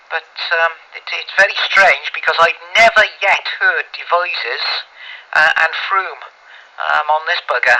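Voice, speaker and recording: British man, higher-pitched voice, very bright and forceful, casual radio chat, rambling, colloquial, mildly sweary. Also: measured pace, rough recording. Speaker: amateur radio operator. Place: speaking into a two-way radio, recorded on a phone.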